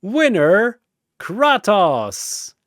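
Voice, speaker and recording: A man's voice: sits high, huge pitch swings, very slow, fairly bright tone, dry recording, clean studio sound, pitched above the performer's own voice, full character voice. A man voicing an amused, teasing, godlike cosmic arena master in a showy manner for a fantasy battle story. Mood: triumphant.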